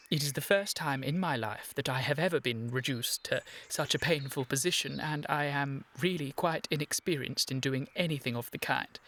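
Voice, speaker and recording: A man with a British accent playing a flustered, earnest young Victorian gentleman in a radio comedy, with formal, exasperated, precise diction. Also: fairly high pitch, close to an everyday voice. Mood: awkward, solemn. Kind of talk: scripted radio comedy acting.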